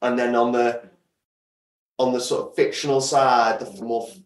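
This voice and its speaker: A man's voice: mid-range voice, little pitch movement, normal speed. A man speaking as a guest in a casual interview, enthusiastic and emphatic, often repeating words for stress. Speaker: guest, audio drama creator. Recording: roomy echo, distant from the mic.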